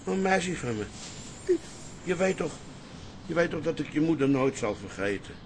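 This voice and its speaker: A man's voice: fairly high pitch, very animated, moderate pace, slight background noise. An actor playing an elderly widowed father in a Dutch radio play, tender and easily riled. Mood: tender, wistful. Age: older.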